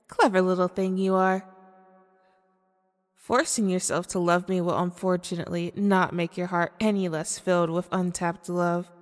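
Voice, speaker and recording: A woman playing a manipulative, seductive female demon in an ASMR audio roleplay, speaking formally and deliberately in a coaxing way. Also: medium pitch, lightly acted. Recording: long cavernous reverb.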